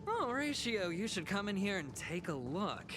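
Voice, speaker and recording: An actor voicing a cocky, suave gambler in a fantasy video game, teasing and theatrical. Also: male voice, high-pitched, big pitch swings, normal speed. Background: game music.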